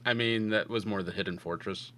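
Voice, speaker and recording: Bright-voiced man on a film podcast. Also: on the low side, steady tone, brisk. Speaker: podcast co-host. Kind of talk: casual film review podcast chat.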